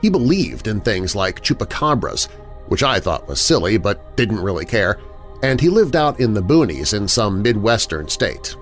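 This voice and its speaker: A man reading first-person scary stories as a narrator, in a dramatic, expressive storytelling manner. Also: on the low side, normal speed. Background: background music.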